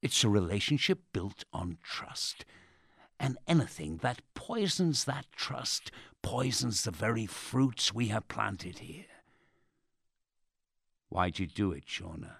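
An actor playing a smug, sardonic state radio host in a dark audio drama, theatrical and menacingly polite with pompous presenter patter. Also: male voice, lowish voice, big pitch swings, takes it slowly, creaky, breathy edge, no room sound, clean studio sound, heavily acted. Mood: grave, sanctimonious.

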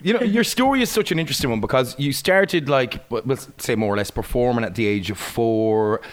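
A man hosting a radio interview, effusive and joking, with quick asides. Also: mid-range voice. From Ireland.

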